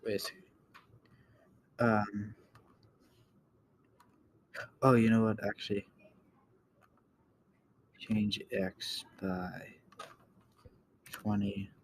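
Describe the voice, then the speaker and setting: A man with a low voice walks through a casual screen-recorded tutorial. He speaks slowly with little melody, halting and trailing off as he thinks aloud. There is background noise with keyboard clicks behind him. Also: little pitch movement. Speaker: tutorial host.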